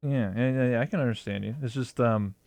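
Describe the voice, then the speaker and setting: A man's voice: medium pitch, creaky, darkish tone, no room sound, faint music behind. Chill guy hosting, mid voice, dry close sound, lots of ums. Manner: laid-back, halting, lots of ums and you knows. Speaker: host. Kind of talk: casual interview hosting.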